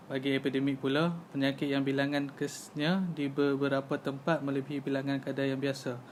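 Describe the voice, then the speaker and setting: Man as young housemate, Malaysian accent, helpful, instructive, level melody, mid pitch, amateur animation dialogue. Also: slight background noise, close to an everyday voice. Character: helpful young male housemate explaining things to friends.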